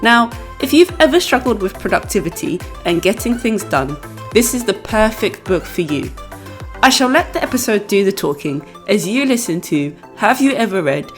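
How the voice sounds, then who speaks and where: A woman's voice: mid-range voice, animated, moderate pace, soft background music. A woman hosting a podcast about books, chatting casually and laughing often. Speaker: podcast host. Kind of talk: casual book chat.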